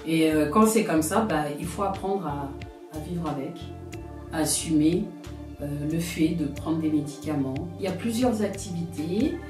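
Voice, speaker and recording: A woman's voice: deep voice, moderate pace, over music. A woman in her thirties from France, giving a personal testimony as an interview guest, speaking in a reflective, hesitant way as she searches for words. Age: 30s. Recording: roomy, from a distance.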